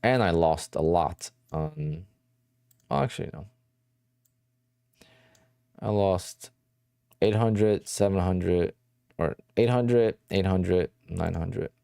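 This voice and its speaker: Man, very low voice, mellow tone, casual rambling livestream chat. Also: slow pace, gravelly, long pauses, clean tone, no room sound, clean studio sound. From New Jersey. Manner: rambling, conversational, answers chat questions. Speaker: day trading livestream host.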